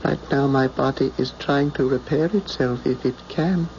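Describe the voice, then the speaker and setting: A male radio actor playing a wounded, power-hungry alien pilot in a halting, intense, theatrical way. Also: medium pitch, creaky, a little mellow, natural voice. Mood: haughty, pained. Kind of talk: radio drama acting. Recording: dull, noisy old radio broadcast recording.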